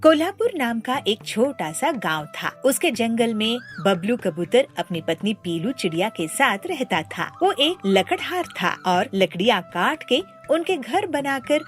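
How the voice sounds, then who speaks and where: Woman narrating a cartoon, high voice, unhurried, soft music behind. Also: big pitch swings. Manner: slow, plain storytelling. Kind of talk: scripted storybook narration.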